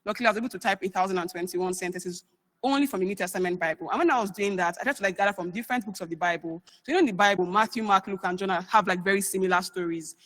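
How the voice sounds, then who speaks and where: A woman's voice: medium pitch, racing, a bit bright. A young woman giving a chatty conference research talk, full of fillers. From Nigeria. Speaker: researcher presenting.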